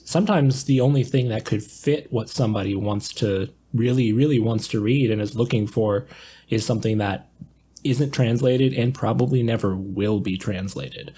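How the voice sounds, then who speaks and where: A man's voice: lowish voice, mellow, slight background noise. A man talking casually as a co-host in a podcast discussion.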